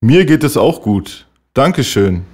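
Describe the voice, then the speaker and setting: Guy reading phrases real slow for learners to repeat, pitch going up and down a lot. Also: big pitch swings, darkish tone. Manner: slow, clearly enunciated model phrases for repeating. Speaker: German language teacher voice.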